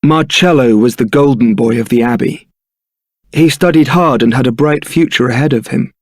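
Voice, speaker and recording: An actor playing a young templar knight in a video game, suave, wry and brooding, with a theatrical, articulate delivery. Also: male voice, medium pitch, vocal fry, a little mellow, dry recording, clean studio sound. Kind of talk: video game voice acting, dramatic scripted dialogue.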